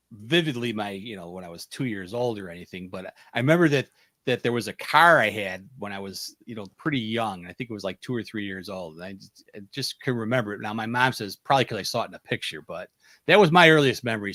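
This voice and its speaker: A man's voice: on the low side, lively intonation, a little fast, bright, forceful tone, no echo, clean studio sound. A man hosting a panel discussion in a casual, conversational style. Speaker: host, moderator.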